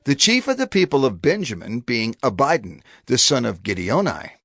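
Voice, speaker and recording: A man's voice: mid-range voice, very animated, normal speed, gravelly, fairly bright tone. A man reading scripture from a script in a formal, proclaiming manner. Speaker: narrator. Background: faint music.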